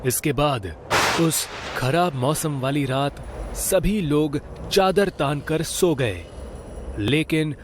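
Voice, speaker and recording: A man narrating a scripted horror story in a slow, dramatic storytelling manner. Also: on the high side, animated, slight background noise.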